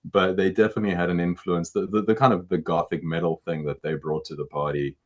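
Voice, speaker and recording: A middle-aged man with a baritone voice, a musician answering interview questions in a casual, halting way, often repeating words as he thinks. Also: flat delivery.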